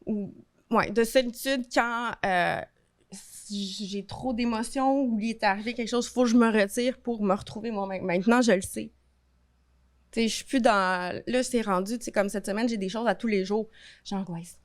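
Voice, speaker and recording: A woman's voice: mid-range voice, normal speed, no echo, clean studio sound. A woman in her thirties with a Québécois French accent, a podcast guest talking candidly and expressively in a casual chat. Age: 30s. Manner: candid, expressive, colloquial storytelling.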